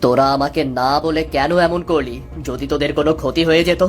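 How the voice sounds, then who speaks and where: Woman voicing a lowish, cautious village father. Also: steady tone, normal speed, faint music behind, close to an everyday voice. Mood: anxious. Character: humble village fisherman father, cautious and caring. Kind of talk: cartoon voice acting.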